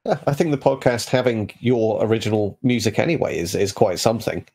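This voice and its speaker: Creaky-voiced man in casual chat. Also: lowish voice, expressive, a little fast, a little mellow. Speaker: podcast guest and player.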